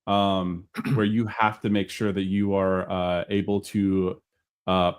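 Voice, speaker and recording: A man's voice: low voice, level tone, slow pace, clear, resonant tone. A man chatting casually as a panelist on an online show, explaining things as he goes. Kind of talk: casual chat.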